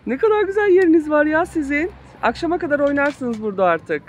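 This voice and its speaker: A playful mother in her thirties films her kids from a handheld phone out in a backyard. Her lively voice bounces up and down as she coaxes them through a game. Faint outdoor noise sits behind her. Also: high-pitched, fast. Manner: playful, coaxing, laughs often. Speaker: mother filming her children. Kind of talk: casual family vlog narration. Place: backyard play area outdoors. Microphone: handheld phone camera.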